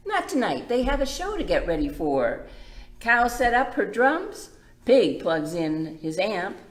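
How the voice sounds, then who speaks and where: Slow, lively woman hosting children's storytime. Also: medium pitch. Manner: simple, demonstrative phrasing for small children. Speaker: children's librarian, storytime host. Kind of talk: children's storytime presenting and read-aloud. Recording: echoey room.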